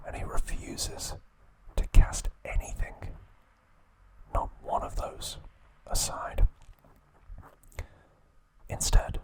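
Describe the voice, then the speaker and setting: Guy whispering asmr trivia real slow, fixes his own slips. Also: low-quality recording. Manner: slow soft whisper, occasional self-corrections. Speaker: ASMR host.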